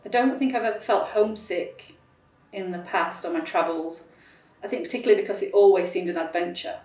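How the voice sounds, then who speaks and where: A woman in her forties from South Yorkshire, England, speaking in a measured, reflective way in a room with large windows. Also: mid-range voice, darkish tone. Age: 40s. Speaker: interviewee. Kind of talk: documentary interview. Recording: roomy, distant camera mic.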